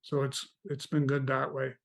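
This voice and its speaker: A man from Canada, a guest in an interview, telling stories about mentoring in a relaxed, meandering way. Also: mid-range voice, moderate pace, gravelly. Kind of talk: casual interview chat.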